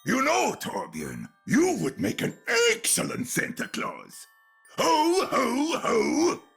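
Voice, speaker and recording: An actor playing a big, jolly older knight in a video game, gravelly and husky, with a hearty, theatrical delivery. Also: male voice, very high-pitched, wildly swooping pitch, slow, a bit bright, faint music behind, exaggerated voice. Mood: jolly teasing.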